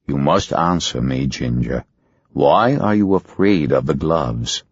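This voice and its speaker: A calm, commanding hypnotist, read by a male narrator speaks in soothing, slow, insistent commands from a storybook. His deep voice is husky, and the sound is dry and muffled. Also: dry recording, heavily acted.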